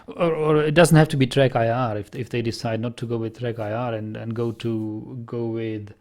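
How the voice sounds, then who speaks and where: A man with a gravelly, creaky voice and a Czech accent talks through a game for his stream, reading and answering chat in a relaxed way. His pitch sits in the middle, and he often restarts and repeats words as he thinks aloud. Faint keyboard typing and clicks sit behind him. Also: moderate pace, slight background noise. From Czech Republic. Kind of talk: casual gameplay commentary, reading chat.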